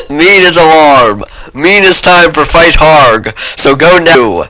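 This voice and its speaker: A man reading out the part of a boastful, fight-obsessed male blogger, in halting and emphatic broken phrasing. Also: fairly high pitch, animated, bright, forceful tone, voice pushed up, full character voice, rough recording. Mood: excited.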